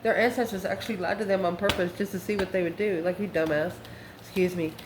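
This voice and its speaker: A woman's voice: medium pitch, steady tone, quick pace. A woman giving an improvised online tarot reading in a folksy, colloquial and sassy way. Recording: roomy echo with faint background noise.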